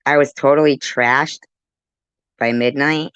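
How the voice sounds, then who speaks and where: A woman in a heated, informal argument, defensive and quick to contradict. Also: extremely low pitch, fairly even intonation, a bit bright, smooth, no room sound. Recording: muffled lo-fi audio.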